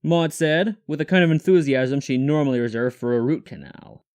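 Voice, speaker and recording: A man's voice: medium pitch, moderate pace, a little mellow, clear, resonant tone. A man reading scripted story narration as the narrator.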